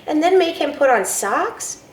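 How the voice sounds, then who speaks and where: A woman's voice: high voice, sing-song, measured pace, a little hiss or hum, pitched above the performer's own voice. A woman voicing a bossy young girl, the older sister, speaking insistently. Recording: roomy, from a distance, faint ambience.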